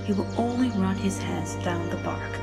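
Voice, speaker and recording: A woman's voice: medium pitch, moderate pace, vocal fry. A woman acting as hostess and narrator of a scripted story, telling it in a dramatic storytelling style. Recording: long reverb, music behind.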